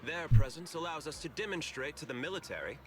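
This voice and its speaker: A courteous, politically shrewd corporate manager from a video game. His delivery is measured and polite, yet the pitch moves expressively through each explanation. Music plays clearly behind him. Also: very animated. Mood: composed, explanatory.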